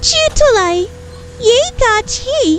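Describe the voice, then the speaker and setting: A woman voicing a poor, anxious young girl who pleads respectfully and earnestly in an animated horror story. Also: very high voice, extremely slow, heavily acted. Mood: anxious pleading. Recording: music behind the voice.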